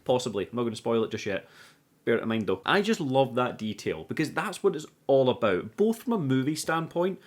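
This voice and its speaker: A man's voice: medium pitch, very animated, a little fast. A man presenting a movie recap as an enthusiastic, informal commentary with casual swearing. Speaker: movie review host.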